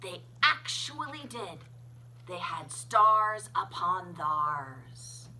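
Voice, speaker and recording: A woman's voice: lowish voice, very animated, drawn-out words, sharp tone, a little hiss or hum, poor audio. A woman reading a rhyming children's story aloud with dramatic, playful emphasis. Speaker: children's library story reader. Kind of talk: expressive read-aloud storytelling in rhyme.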